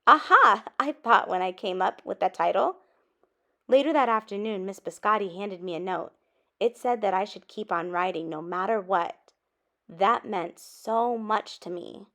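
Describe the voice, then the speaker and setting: Female storybook reader, mid-pitched with noticeable vocal fry, expressive and emphatic. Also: normal speed. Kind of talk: expressive read-aloud of a children's story.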